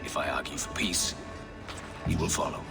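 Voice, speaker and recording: An actor playing a self-important, greedy royal advisor in a video game, pompous and sly. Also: male voice, very deep voice, steady tone, moderate pace, gravelly, exaggerated voice. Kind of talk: dramatic video game acting. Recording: long hall reverb, music underneath.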